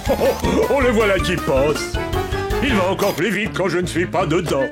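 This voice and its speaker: A man's voice: sits high, animated, normal speed. A man voicing a good-natured, easily flustered toy-town policeman in a French children's cartoon, exclamatory and slightly formal. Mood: weary, flustered. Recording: loud music under the voice.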